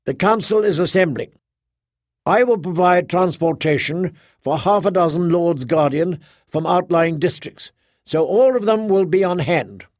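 A man voicing an impatient, irritable female official who talks in quick, incredulous bursts. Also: high voice, dry recording, clean studio sound, voice pushed up. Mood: exasperated.

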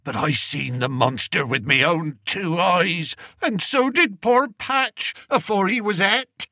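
A man's voice: sits high, slow, sharp tone, dry recording, studio quality, voice pushed up, exaggerated voice. A man voicing a frightened, tearful old male shepherd who speaks in a rustic dialect and wheezes. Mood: pleading, tearful.

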